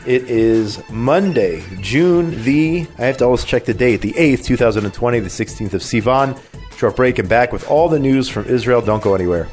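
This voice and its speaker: A man's voice: medium pitch, expressive, normal speed, a little mellow, faint music behind. A man with a cold hosting a talk radio show, chatting casually and reading the news with plenty of fillers and restarts. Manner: conversational, opinionated, with many fillers and restarts. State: has a cold.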